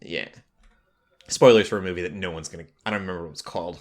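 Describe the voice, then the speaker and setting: A man's voice: low-pitched, fast talker. A man hosting a casual pop culture podcast, talking in a rambling way that drifts into tangents. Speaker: podcast host.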